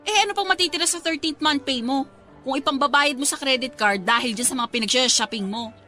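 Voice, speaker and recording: Bright, high-voiced Filipina nagging friend. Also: female voice, fairly quick. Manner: scolding, preachy, exclamatory. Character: practical, nagging young woman, scolding best friend. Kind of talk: Tagalog radio drama acting. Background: music.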